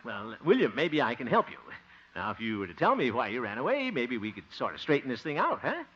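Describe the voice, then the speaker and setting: An actor playing a flustered, blustering middle-aged man in a 1950s radio comedy, excitable and easily exasperated. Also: medium pitch, fairly quick, breathy edge, exaggerated voice. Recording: noisy, dull restored 1950 radio recording.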